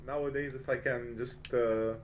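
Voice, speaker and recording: A young man gives a casual interview about his streaming in an informal, easygoing way. His voice sits at a medium pitch, and there is noticeable light noise on the recording. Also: steady tone, moderate pace. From born in France, grew up in Lebanon. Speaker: interview guest, game streamer.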